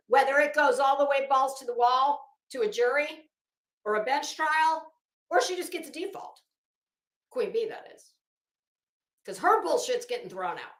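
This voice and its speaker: Casting: female opinion commentator, slightly high voice, unhurried pace, emphatic and cuttingly scornful, foul-mouthed, dramatic pauses. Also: a bit bright, roomy sound. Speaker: online commentator. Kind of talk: informal opinionated commentary on a legal dispute.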